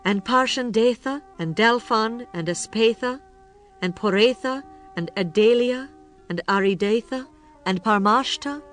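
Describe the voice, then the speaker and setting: A woman reading scripture as a narrator, in a formal, measured storytelling manner. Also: a little high, faint music behind.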